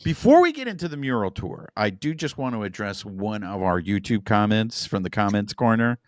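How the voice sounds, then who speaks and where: A man's voice: on the low side, normal speed, dry recording, studio quality. A man in his forties, a native Spanish speaker, co-hosting a podcast in playful, hyped-up casual chat. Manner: lively, playful hype. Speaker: podcast co-host.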